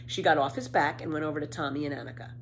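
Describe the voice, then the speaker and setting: A woman reading a children's story aloud with dramatic, emphatic, expressive narration. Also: low voice, rapid-fire. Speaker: storybook reader. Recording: faint background noise.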